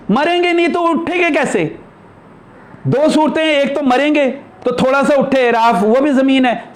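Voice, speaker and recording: High-pitched man giving spiritual discourse. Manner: explanatory, conversational, with rhetorical questions. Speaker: spiritual teacher lecturing a class. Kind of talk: religious discourse, answering questions.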